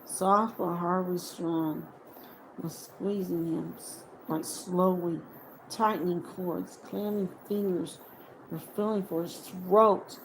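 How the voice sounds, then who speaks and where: A woman narrates a story in a slightly low voice, very slowly and deliberately. She breaks sentences into short dramatic phrases, with noticeable noise in the background. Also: fairly even intonation, gravelly, poor audio. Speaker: audiobook reader. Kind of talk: dramatic scripted fiction narration.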